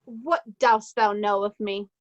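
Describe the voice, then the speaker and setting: A woman's voice: mid-range voice, fairly even intonation, measured pace, muffled recording, close to an everyday voice. A woman playing a haughty, cowardly male steward in Shakespearean reader's theatre, affronted and theatrical. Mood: polite, affronted.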